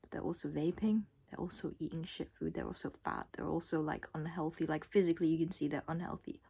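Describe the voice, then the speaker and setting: Young woman, lower-pitched voice, casual vlog monologue, rambling, blunt, swears casually. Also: flat delivery, quick pace, gravelly, dark tone, breathy edge. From Scotland and Thailand. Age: young adult. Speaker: vlogger. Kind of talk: casual unscripted monologue to the audience.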